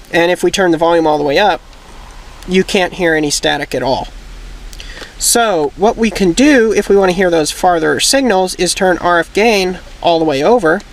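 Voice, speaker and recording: Higher-pitched man giving a tutorial. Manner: methodical step-by-step explaining. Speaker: how-to video host. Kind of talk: casual instructional tutorial.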